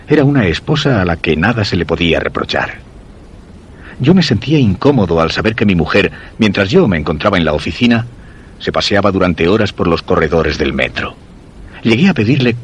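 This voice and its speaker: An actor with a Castilian accent playing a suspicious husband, narrating a dark suspense radio drama in measured, literary phrasing. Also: male voice, low voice, a little hiss or hum. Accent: Castilian Spanish.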